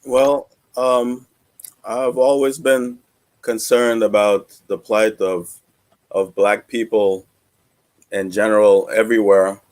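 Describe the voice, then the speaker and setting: A man from the United States, born in Trinidad and Tobago, giving earnest testimony in halting, deliberate phrases as a panel member. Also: lowish voice, fairly even intonation, a little mellow.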